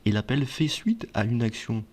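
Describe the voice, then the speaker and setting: A man's voice: mid-range voice, very animated, normal speed, a little mellow, slightly rough. A man presenting advice to viewers in a conversational explainer style, often posing questions and answering them himself. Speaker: video presenter giving advice. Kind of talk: explainer talk to viewers, semi-scripted.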